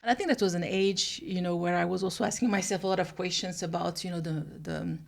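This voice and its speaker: Female professor guest, low pitch, conversational interview delivery. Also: steady tone, quick pace, gravelly. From France.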